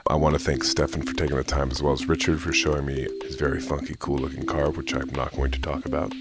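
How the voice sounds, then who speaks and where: A man's voice: rumbling low, little pitch movement, fast talker, over loud music. A male podcast host who asks probing questions and answers his guest with short agreements. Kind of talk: podcast interview.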